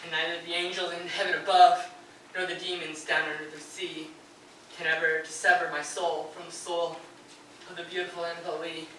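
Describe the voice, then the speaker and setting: Heard from off in a very echoey room, a male actor plays a tormented, grieving poet. His voice is high and forceful. He declaims verse and letters with nervous fervor and anguish, as in a live stage drama. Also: flat delivery, a little fast, natural voice. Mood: bitter grief. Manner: fervent, declamatory, swelling into anguished outbursts. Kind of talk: theatrical dramatic reading of poetry and letters. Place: live performance in a radio station's performance room. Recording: very echoey live room, distant mic, faint noise.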